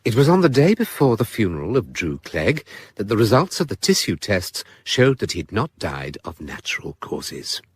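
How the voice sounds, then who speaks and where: An actor playing the measured, grave narrator of a detective novel, in scripted narration over clear background noise. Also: male voice, lowish voice, big pitch swings, no echo, studio quality. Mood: grave narrating. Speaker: audiobook narrator reading a crime novel.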